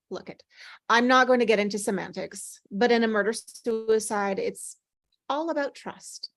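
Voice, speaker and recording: A woman's voice: a little high, unhurried, dry recording. A woman playing a teasing, melancholy older female ghost in an acted script read, with wry, sighing, dramatic delivery. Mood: needling.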